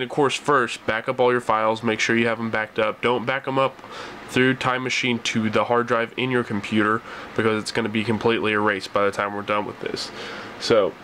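A man's voice: mid-range voice, brisk. Male tutorial host, mid-range voice, informal instructional delivery, faint room noise behind. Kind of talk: casual step-by-step screen tutorial. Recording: noisy room with steady hum.

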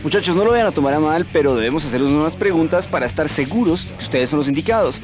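An earnest, wary hero of a comic radio serial, played by a man with a Colombian accent. His voice sits a little high and he talks with mock seriousness. Music plays clearly behind him in a studio recording. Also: expressive, fast talker, natural voice. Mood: earnest questioning. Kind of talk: comic radio drama acting. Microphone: close broadcast microphone.

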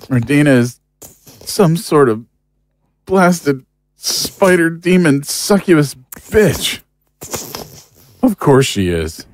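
Fed up tired dude in a horror audio drama, cursing, really slow, noise behind him. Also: male voice, a little high. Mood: horrified, exasperated. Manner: weary, exasperated, cursing. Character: exhausted, angry foul-mouthed man fed up with monsters.

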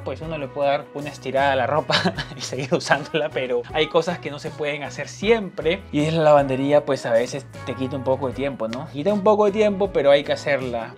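Chatty man in his thirties over music. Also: on the high side. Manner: chatty, explains costs to viewers directly. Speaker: vlogger. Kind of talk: casual vlog talking to camera. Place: small room at home, indoors.

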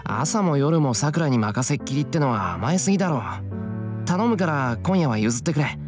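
A man's voice: on the high side, expressive, normal speed, lightly acted. Man as earnest teenage boy over music. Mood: apologetic, puzzled. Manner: casual, blunt speech. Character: earnest, easygoing teenage schoolboy. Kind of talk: visual novel voice acting. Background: game music.

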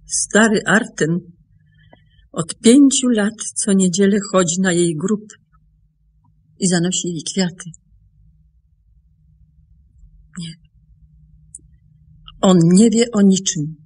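A guarded, serious woman in a radio play. Her voice is husky and medium in pitch, and she speaks with measured, dramatic firmness. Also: darkish tone. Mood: grave. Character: grave, guarded woman keeping her late sister's secret. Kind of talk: Polish radio drama acting.

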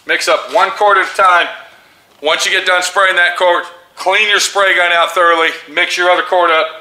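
A middle-aged man with a sharp, bright voice explains spray painting step by step in a plain, no-nonsense way. The room is small and echoey, with a faint hum behind him. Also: sits high, steady tone. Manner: plain-spoken, instructive, hurried while spraying. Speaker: auto painter demonstrating tools. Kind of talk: hands-on tool demonstration. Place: small paint booth indoors.

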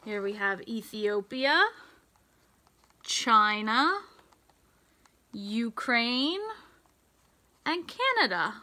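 An American woman reading a picture book aloud to young children, in a deliberate, sing-song teacher's delivery with emphasized words. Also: on the high side, expressive, pushed and bright. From United States. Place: small indoor room with racks of hanging clothes.

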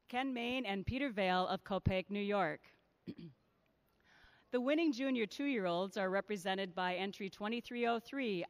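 A woman's voice: medium pitch, no echo. Woman announcing at a livestock show. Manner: measured, reads entries and sponsors. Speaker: ring announcer. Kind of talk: formal award announcements. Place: large indoor show arena. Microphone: handheld PA microphone.

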